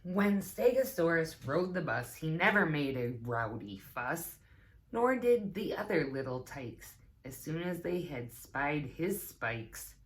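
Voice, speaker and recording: A woman reading a picture book aloud to young children as a teaching assistant, with expressive, deliberate phrasing and chatty asides. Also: deep voice. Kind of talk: children's story time read-aloud with asides.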